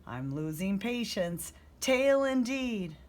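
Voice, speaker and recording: A woman's voice: mid-range voice, very animated, slow pace, darkish tone. A woman voicing a firm, dismissive mother who scolds her young son in a children's storybook. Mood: exasperated.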